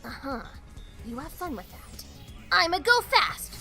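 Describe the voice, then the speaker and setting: Brash young villainess female actor, bright swagger in a high register, lively swings, cocky slang, comic-dub attitude. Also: a little slow, vocal fry, over music, heavily acted. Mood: mischievous, sneering. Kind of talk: comic dub cartoon acting.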